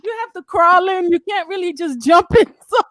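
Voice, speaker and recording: A playful, animated woman from Haiti talks on a group call and laughs often. Her voice is high. The sound is dry and close, with no room around it. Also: normal speed, dry recording. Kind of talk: casual group discussion.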